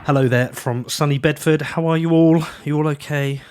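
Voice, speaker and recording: A man's voice: on the high side, fairly even intonation, moderate pace, over music. A man hosting a podcast, talking through his intro and sponsor reads in a chatty, upbeat way while stuffy from a cold. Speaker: podcast host.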